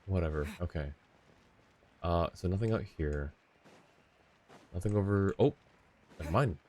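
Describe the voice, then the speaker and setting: A man's voice: sits low, a little slow, warm tone. A man giving casual live commentary as he streams a game, often muttering to himself.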